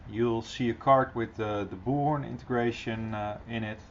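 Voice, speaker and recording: A middle-aged man presenting an informal, encouraging software tutorial in a casual style, recorded on a computer microphone. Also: mid-range voice, steady tone, normal speed. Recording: dull, slightly noisy computer recording.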